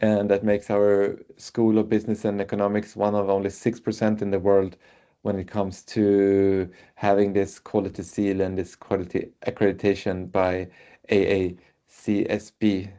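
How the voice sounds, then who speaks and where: Low, flat-voiced Swedish man presenting. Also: moderate pace. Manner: hesitant, frequent filler sounds. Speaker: university representative. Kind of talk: webinar presentation. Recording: muffled webinar audio.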